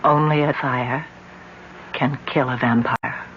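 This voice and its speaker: A woman playing a grieving, resolute young village woman in old-time radio drama, speaking intensely and theatrically, halting when troubled. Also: extremely low pitch, steady tone. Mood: horrified, dreading. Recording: muffled, noisy old radio recording.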